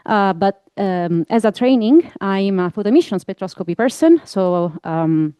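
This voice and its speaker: A woman from Italy with an Italian accent, presenting her research at a conference in a relaxed, fluent way with soft fillers. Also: medium pitch, a little slow, smooth, no room sound, studio quality. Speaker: researcher giving a talk.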